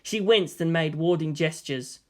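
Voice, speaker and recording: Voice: mid-range voice, a little slow, darkish tone. A narrator giving a dramatic scripted reading of a story.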